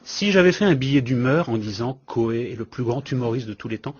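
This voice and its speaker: Male critic in a studio spat, wry and needling, brisk delivery. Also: medium pitch, expressive, a crowd in the background. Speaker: radio columnist. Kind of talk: heated on-air argument. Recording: muffled radio studio recording.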